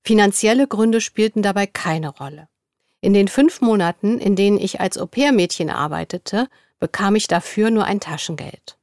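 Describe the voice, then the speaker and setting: A young woman in a studio, reading interview answers in a scripted dialogue at an even, clear pace. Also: mid-range voice, moderate pace, no room sound.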